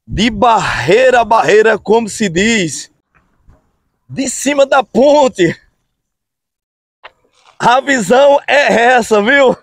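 A man in his forties with an unusually high voice narrates his own vlog outdoors on a bridge. He talks in an upbeat way, stretches his phrases and keeps adding little tags to the viewers. The sound is dry and close, from a phone held at arm's length. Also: animated, dry recording. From Brazil. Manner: upbeat, drawn-out phrases, frequent "hein, pessoal?" tags. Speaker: channel host. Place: outdoors on a road bridge over a river. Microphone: phone camera at arm's length.

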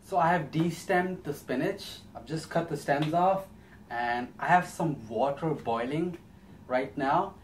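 A man's voice: fairly high pitch, measured pace, a little hiss or hum. A young man giving a casual, step-by-step cooking demonstration as host in a small home kitchen. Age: young adult. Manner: explains step by step.

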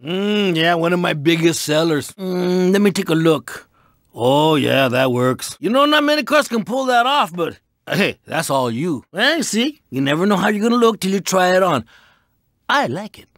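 An actor voicing a proud, laid-back lowrider car in a video game, with slangy, drawn-out street talk. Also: male voice, big pitch swings, a bit bright, no echo, studio quality, exaggerated voice. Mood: laid-back, approving. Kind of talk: animated video game voice acting.

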